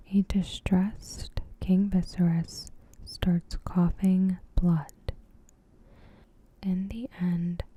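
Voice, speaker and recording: A woman doing ASMR in a hushed, breathy whisper, gently and unhurriedly reading a recap of a story. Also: lowish voice. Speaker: ASMR creator and host. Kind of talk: soft-spoken ASMR, reading a TV episode recap.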